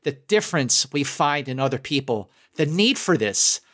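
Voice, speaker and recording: A middle-aged American man in a casual discussion, making emphatic points in a halting way with repeated phrases. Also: medium pitch, sing-song, fairly bright tone. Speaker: film critic, podcast panelist.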